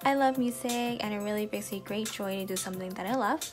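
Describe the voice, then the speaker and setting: A woman's voice: medium pitch, fast. A young woman from the United States, cheerful and upbeat, talking into her phone camera at home. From USA. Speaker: viewer sharing news. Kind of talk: casual video message. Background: music.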